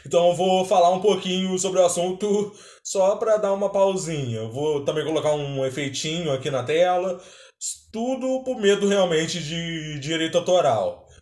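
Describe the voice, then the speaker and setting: A man's voice: sits high, moderate pace, darkish tone. A Brazilian man hosting a reaction video with casual commentary, recorded in a roomy space.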